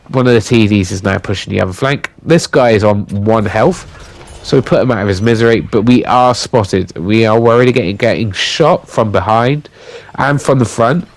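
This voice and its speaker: A man from the UK doing a voiceover commentary on a tank game, his voice croaky and his nose blocked from illness, talking haltingly with many fillers and restarts. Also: medium pitch, dry recording. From United Kingdom. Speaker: gaming commentator.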